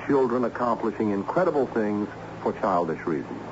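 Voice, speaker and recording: An actor playing an earnest, learned schoolteacher who speaks insistently and didactically in a radio drama. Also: male voice, fairly low pitch, lively intonation, brisk, close to an everyday voice. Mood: explaining, intent. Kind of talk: old-time radio drama acting. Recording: muffled, noisy radio drama recording.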